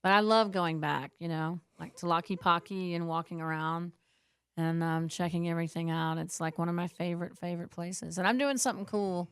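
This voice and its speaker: Chatty woman with a low, smooth voice. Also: steady tone, brisk, no echo. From born in Australia, raised in the United States. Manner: chatty and laughing, with many fillers. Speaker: guest singer. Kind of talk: casual interview chat.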